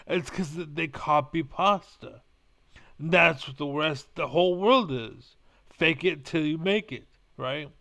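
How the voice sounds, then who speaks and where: A man's voice: fairly high pitch, slow, pushed and bright. A young man with Spanish as his first language, rambling bluntly in a casual monologue and swearing now and then. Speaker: vlogger.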